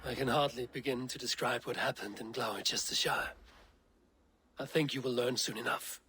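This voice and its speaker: A measured Viking leader. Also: male voice, mid-range voice, steady tone, pushed and bright, a little hiss or hum. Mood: grave. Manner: measured and grave. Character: steady Viking clan leader, cautious and measured. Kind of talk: video game cutscene.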